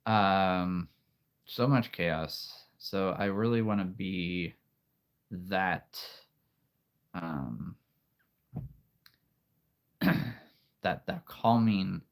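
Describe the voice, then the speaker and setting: Male stream host: very deep, unhurried, soothing storyteller voice, rambling and calm, slight allergy throat-clearing. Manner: rambling, with frequent restarts and soft laughs. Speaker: livestream host. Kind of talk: casual live-stream chat.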